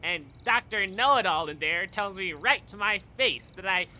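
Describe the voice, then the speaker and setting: Bitter veteran on crutches: high, piercingly bright, exasperated and sarcastic, radio play with faint outdoor ambience. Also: male voice, lively intonation, moderate pace, a little hiss or hum, heavily acted, poor audio. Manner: indignant, sarcastic complaining.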